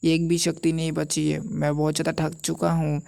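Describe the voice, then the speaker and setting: A woman reads a wronged young hero from a storybook recap. The voice is low, mellow and gravelly, earnest and brooding with a defiant streak. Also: monotone, normal speed. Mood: exhausted.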